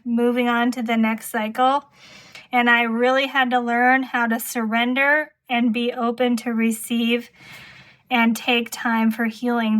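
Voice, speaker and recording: Woman tarot reader, fairly high voice, slow and even, a bit hoarse, gentle and reflective. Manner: calm, soft-spoken, reflective. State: slightly hoarse, losing her voice. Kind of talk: intuitive pick-a-card tarot reading.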